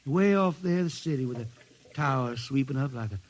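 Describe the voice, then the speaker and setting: A man's voice: mid-range voice, lively intonation, slight background noise, close to an everyday voice. An actor playing a wistful, folksy spaceman poet from the hills with a Southern country accent, in a vintage radio drama. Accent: Southern US hill country. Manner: folksy, halting, dreamy. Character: wistful blind spaceman poet, Ozark country-bred drifter. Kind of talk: old-time radio drama acting.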